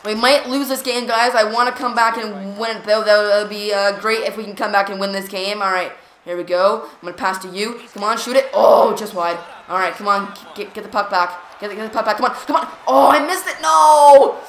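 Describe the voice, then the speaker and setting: Woman gaming host; jumpy live reactions, repeats words when excited, echoey room. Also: medium pitch, fairly quick, hardly any pauses. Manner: jumpy, reactive, lots of "come on" and "here we go". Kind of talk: excited live gameplay commentary. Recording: roomy echo.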